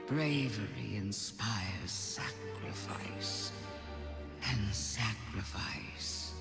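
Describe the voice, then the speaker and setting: A woman's voice: rumbling low, vocal fry, full character voice. An actress playing a sinister, regal alien witch queen in a video game, with incantatory delivery. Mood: sinister, ritualistic. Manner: slow, incantatory. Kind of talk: slow ominous game villain speech. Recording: echoing, under loud music. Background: loud game score.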